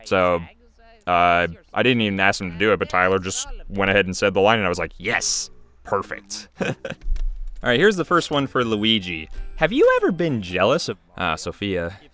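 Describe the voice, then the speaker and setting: Guy doing casual creator commentary, deep smooth voice, kinda rambly. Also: big pitch swings, brisk, bright, forceful tone, soft background music. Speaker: creator and voice actor giving commentary.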